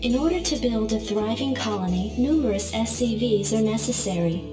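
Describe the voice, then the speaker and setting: A woman voicing a formal, composed military computer adjutant in a video game, with measured, impersonal delivery. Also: a little high, lively intonation, recorded from a distance, natural voice. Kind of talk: scripted game briefing. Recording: echoing voice over loud music.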